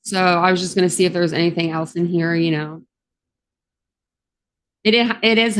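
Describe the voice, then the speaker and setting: A woman with a Southern drawl, chatting casually on a livestream. Her voice is a bit scratchy from the morning, and she rambles with plenty of ums. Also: on the low side. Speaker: true crime YouTuber.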